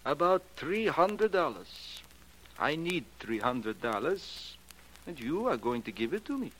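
Creepy astrology-mad janitor, kinda high voice, very up and down, broken english, old 40s radio drama. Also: male voice, sing-song, a little slow, fairly bright tone, a little hiss or hum, low-quality recording. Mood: insistent, demanding. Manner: stilted broken English, portentous and insistent. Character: ominous male observatory janitor obsessed with astrology. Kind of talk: 1940s radio acting. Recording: vintage 1940s radio recording.

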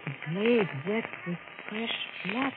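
An actress playing a troubled, frightened and defiant woman in a melodramatic, halting 1940s radio thriller style. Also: medium pitch, close to an everyday voice. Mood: hushed dread. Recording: scratchy, tinny old radio recording, heavy noise.

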